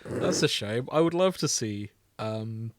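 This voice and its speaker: A man's voice: medium pitch, animated, a little slow, no echo, studio quality. A British man co-hosting a podcast in casual comedic chat, irreverent, rambling and sweary. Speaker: podcast co-host.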